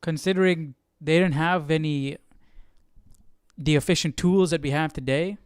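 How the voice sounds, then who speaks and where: A male study host comments casually, musing aloud. His voice is clear and a little high, recorded very dry at a close microphone. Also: steady tone, normal speed, no room sound.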